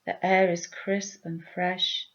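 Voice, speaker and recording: Slow, low-voiced woman guiding meditation. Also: little pitch movement, highs cut off. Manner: gentle, soothing, deliberate phrasing. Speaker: meditation guide. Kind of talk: guided meditation, softly spoken instruction.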